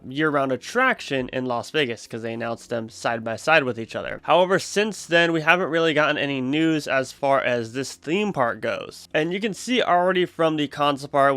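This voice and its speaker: A man's voice: medium pitch, fairly bright tone, no room sound. A man presenting theme park news as a vlog host, chatty and enthusiastic with his viewers. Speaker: YouTube channel host.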